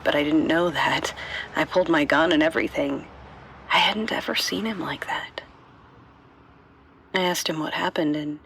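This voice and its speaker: An actress playing a weary female bank robber in an audio drama, confessing in a hushed, breathy monologue. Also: low voice, slight background noise. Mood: hushed, troubled. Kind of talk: scripted first-person confession monologue.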